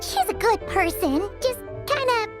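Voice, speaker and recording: An extremely high, squeaky little fairy chatters like an excitable child. The delivery swings in lively pitch, in video game style, with clear music behind it. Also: female voice, a little slow, exaggerated voice. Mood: explaining. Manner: refers to self in third person.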